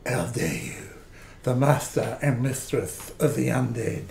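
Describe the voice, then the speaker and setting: Gothic radio servant: servile, ponderous, heavy croaky fry, roomy sound with faint noise. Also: male voice, medium pitch, expressive, darkish tone. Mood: indignant. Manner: slow, deferential, ponderous. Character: slow, servile undead manservant Igor to vampires. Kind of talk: old-time radio play acting.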